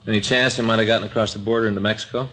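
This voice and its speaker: An actor playing a casual, inquisitive American police detective partner in a 1950s radio drama. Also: male voice, fairly low pitch, fairly even intonation, rapid-fire, bright, forceful tone. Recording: noisy old radio recording.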